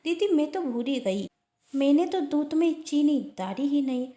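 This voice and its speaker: A woman's voice: sits high, expressive, normal speed, mellow, pitched above the performer's own voice. A woman storyteller playing a dutiful, polite and earnest younger daughter-in-law with a shrewd streak. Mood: pointedly innocent. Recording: roomy echo.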